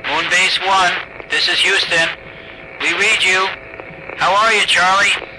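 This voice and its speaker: An actor playing a reassuring, apologetic male mission controller in a radio drama, speaking in a measured, placating way. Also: high-pitched, steady tone, background noise. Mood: calling.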